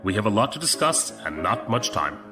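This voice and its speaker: An actor playing a seasoned, fatherly admiral of a space empire in an audio drama, measured and authoritative. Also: fairly low pitch, sing-song, loud music behind the voice. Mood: grave, resolute.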